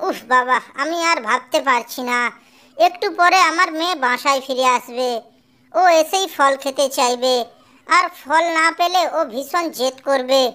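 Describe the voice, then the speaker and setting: High-pitched worried mother bird voiced by a woman. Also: a little slow. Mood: fretful. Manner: fretful, expressive. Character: worried mother songbird, female, caring but shrewd. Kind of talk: animated cartoon dialogue.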